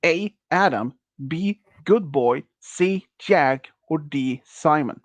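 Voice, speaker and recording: A man in his early thirties, not a native English speaker, hosting a casual quiz on a stream and talking in a slow, halting way with many restarts. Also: fairly high pitch, animated, dry recording. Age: early 30s. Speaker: quiz host.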